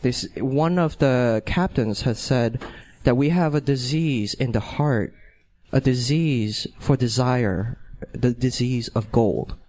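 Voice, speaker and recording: Halting man from Hong Kong. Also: mid-range voice, darkish tone. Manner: halting, repeats words, earnest. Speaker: audience member asking a question. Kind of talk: rambling call-in style comment.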